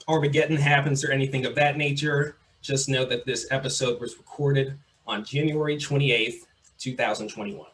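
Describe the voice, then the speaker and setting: A man's voice: mid-range voice, a little hiss or hum. Chatty young man hosting a podcast. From Mississippi. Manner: relaxed, chatty, repeats phrases. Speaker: podcast host. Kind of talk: casual interview.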